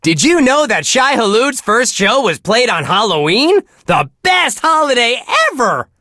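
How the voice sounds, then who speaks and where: Bright, chirpy, wholesome radio DJ. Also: male voice, very high-pitched, very animated, a little slow, no echo, clean studio sound, exaggerated voice. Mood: sunny, cheerful. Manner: chirpy, earnest, gee-whiz exclamations. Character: relentlessly cheerful, wholesome male radio DJ on a heavy-metal station. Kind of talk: upbeat radio DJ patter, scripted.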